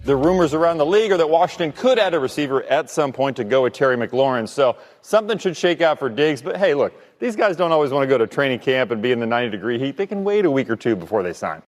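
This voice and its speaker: A man's voice: medium pitch, sing-song, fast talker, hardly any pauses. A man working as an NFL insider, giving a conversational sports report on a broadcast. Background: music under the voice.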